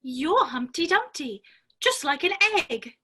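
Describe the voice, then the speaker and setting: A woman's voice: sits high, sing-song, unhurried, pushed and bright, slight background noise, exaggerated voice. A woman playing a polite, earnest, curious little girl in a dramatic reading of a children's classic.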